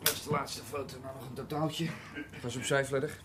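A man's voice: medium pitch, steady tone, fairly quick, very noisy, lightly acted. A man acting in a radio drama as a jaunty, wisecracking police photographer, chatty and dry.

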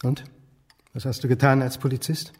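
A middle-aged interrogating son in a radio drama. His voice is husky and mid-pitched, his manner clipped and insistent, pressing with accusing questions. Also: little pitch movement, moderate pace, darkish tone. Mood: probing. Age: middle-aged, about 40.

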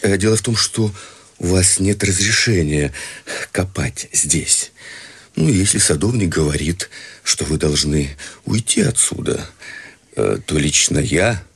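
A man's voice: deep voice, expressive, measured pace, gravelly, husky, heavily acted. An actor playing Father Finnegan, an evasive, awkward priest, in a radio play. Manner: hesitant, evasive.

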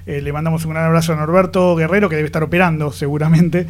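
A man's voice: fairly high pitch, steady tone, quick pace, slight background noise. A man hosting a radio program, chatty and informal as he welcomes and questions his guest. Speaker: radio host. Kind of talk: radio interview. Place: radio studio.

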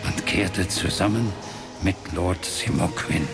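Radio fantasy: solemn old mage father, very low, slow, creaky, breathy, music underneath. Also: full character voice. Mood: proud, reminiscing. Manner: solemn, measured storytelling. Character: grave ancient warrior-mage father, ghostly face speaking from a magic book. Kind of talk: radio play acting.

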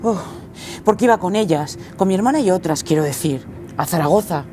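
Radio drama: excitable, scatterbrained young activist played by a woman, Spain accent, flustered slangy chatter, faint background noise. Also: lowish voice, brisk, close to an everyday voice. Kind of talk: radio drama acting, colloquial.

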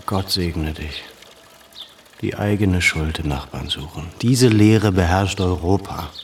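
A man's voice: low-pitched, slow pace, a little hiss or hum. An actor playing an educated, earnest Armenian man in a radio play, brooding and pensive, with a troubled intensity. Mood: respectful, earnest.

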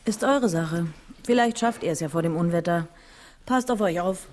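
A woman's voice: fairly low pitch, normal speed, darkish tone, natural voice. An actress playing a down-to-earth, matter-of-fact local woman in a crime radio drama. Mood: matter-of-fact, cautioning. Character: down-to-earth diner woman, matter-of-fact mother warning travellers.

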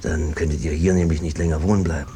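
An actor playing an evasive, henpecked peasant brother who talks around things in a cajoling, hesitant way, in a 1950s radio drama style. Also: male voice, a bass voice, steady tone, quick pace, mellow, faint music behind. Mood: evasive, uneasy. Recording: noisy old 1950s radio recording.

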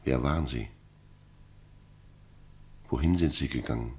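Male narrator, extremely low voice, sober and formal documentary read. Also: measured pace, dark tone. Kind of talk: scripted documentary narration in a radio play.

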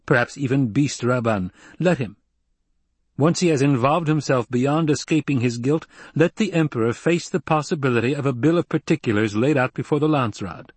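A man's voice: medium pitch, moderate pace, husky, no room sound, voice pushed up, close to an everyday voice. A male narrator voicing a proud young nobleman who speaks with commanding force. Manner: imperious, forceful delivery.

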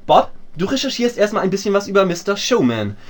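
A man's voice: high-pitched, very animated, quick pace. A man playing a bossy, self-important teenage boy detective in a parody radio play, with a pompous, deliberating delivery. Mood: commanding.

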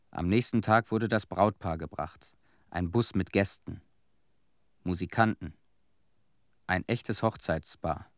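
An actor playing a weary, bitter former cleanup worker who recalls events in a terse, sober monologue for a German radio play. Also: male voice, low voice, no echo, clean studio sound. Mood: bitter, reflective.

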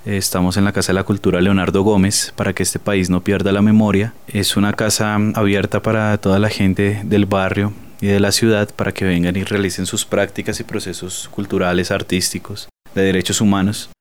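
A man's voice: low-pitched, level tone, fairly quick, hardly any pauses. A man with a Colombian accent explaining his community theatre group's history in an earnest interview style. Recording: loud guitar music behind.

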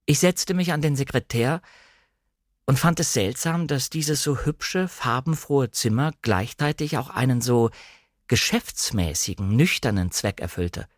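Male narrator, standard German, medium pitch. Measured, precisely articulated literary storytelling, close and dry studio recording. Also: expressive, no echo. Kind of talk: scripted literary narration, audiobook-like radio reading.

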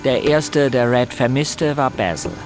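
A man's voice: medium pitch, a little slow, natural voice. An actor playing a teenage boy who narrates grim events in the first person in a radio drama, sounding unsettled. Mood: brooding. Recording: loud music bed under the voice.

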